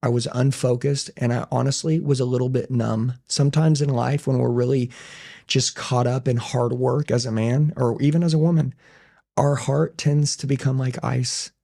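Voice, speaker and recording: A man talks bluntly and emphatically in an interview, in short, firm statements. His voice is mid-pitched and gravelly, with a mellow tone. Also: fairly even intonation, moderate pace. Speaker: podcast guest and business owner. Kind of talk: blunt motivational talk in conversation.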